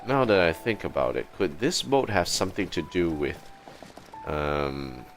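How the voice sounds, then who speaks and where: A man giving casual livestream commentary as he plays a game, musing aloud in a halting, hesitant way. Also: low voice, expressive. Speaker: livestream host playing a game. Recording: game music behind the voice. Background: video game music.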